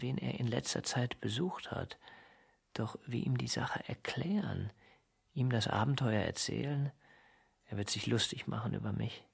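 Husky, brooding, agitated loner. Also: male voice, fairly low pitch. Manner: muttering to himself, exasperated. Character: lonely, brooding, agitated man tormented by desire. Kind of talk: literary radio drama monologue.

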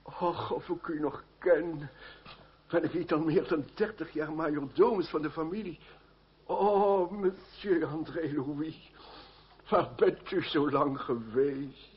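An older man acting in a radio drama as a devoted, deferential old family servant, halting and flustered. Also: a little high. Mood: moved, delighted. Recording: dull, noisy old radio recording.

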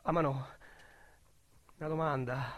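An actor playing an excitable, streetwise Roman small-time robber, telling his story as a theatrical radio drama monologue in slangy dialect. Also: mid-range voice, unhurried. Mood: hushed, grieving. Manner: slangy, vulgar, theatrical storytelling with shouts and hushed asides. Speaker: actor narrator. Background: music under the voice.

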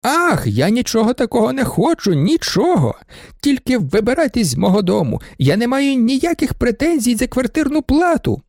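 A man reading an audiobook, voicing a shrill, hysterical older baroness who shrieks her complaints. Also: big pitch swings, moderate pace, gravelly, a little mellow, dry recording, studio quality. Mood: shrill complaining. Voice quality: shrieking, piercing. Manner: overwrought, complaining. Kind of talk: audiobook character voice.

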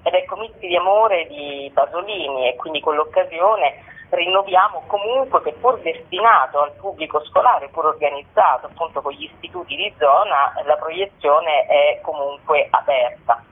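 A woman, a festival curator, explaining at length in a radio interview, articulate and pausing between phrases. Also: sits low, husky. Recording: tinny narrow telephone line.

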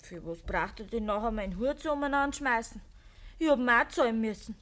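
An actress playing a sulky, defiant young peasant daughter in a radio play, speaking tersely with a Bavarian accent. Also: female voice, a little high, fairly quick, a bit bright, natural voice. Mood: sullen, defiant. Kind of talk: naturalistic dialect radio drama acting.

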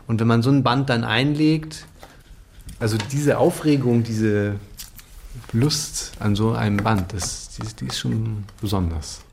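Male feature maker, creaky voice, reflective conversational delivery, slight noise underneath. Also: lowish voice. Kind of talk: casual discussion.

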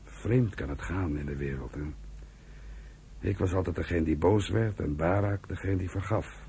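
An actor playing a weary old uncle in a radio drama, speaking heavily and haltingly, longing to be forgiven. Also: male voice, sits low, mellow. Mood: weary, wistful. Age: older. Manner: slow, heavy, halting delivery. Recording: noisy old radio play recording.

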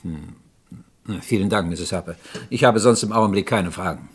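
An actor playing a courteous, methodical police inspector in a German radio crime drama, speaking in a measured, probing, formal way. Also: male voice, low voice, husky. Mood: probing, reserved.

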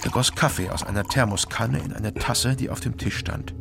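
Male actor as laconic detective narrator, gravelly, terse, wary, deadpan, radio crime drama, music underneath. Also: medium pitch, moderate pace, close to an everyday voice. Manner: terse, deadpan, clipped sentences.

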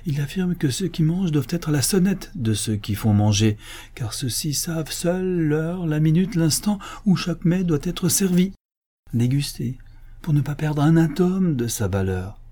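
Give me a man playing an eager, devoted servant in a storybook, deferential and urging, with a husky voice. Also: medium pitch, very animated, moderate pace, dark tone. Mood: earnest. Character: devoted, eager male majordomo serving his master.

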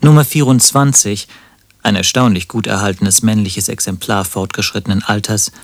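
Wry morgue-worker narrator: low, composed, deadpan storytelling, very close dry recording. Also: male voice, no echo. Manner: measured, deadpan. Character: wry, composed male first-person narrator working in a morgue. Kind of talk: scripted radio play narration.

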